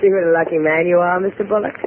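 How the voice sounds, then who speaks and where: A woman's voice: on the low side, steady tone, very fast, lightly acted. An actress playing a cool, sly woman in a radio drama, dryly teasing and playful. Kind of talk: old-time radio drama acting. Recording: tinny noisy old radio recording.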